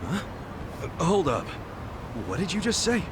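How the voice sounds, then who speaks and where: An actor playing a brash, loyal middle-aged yakuza in a game dub, speaking in a blunt, casual, earnest way. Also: male voice, high voice, wildly swooping pitch, unhurried, noisy background, heavily acted. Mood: alarmed. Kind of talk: English video game dub acting.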